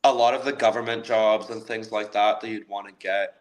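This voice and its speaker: A man's voice: on the low side, fairly even intonation, fairly quick, poor audio. A Canadian man chatting casually as a guest, easygoing and laughing lightly now and then. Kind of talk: casual interview chat.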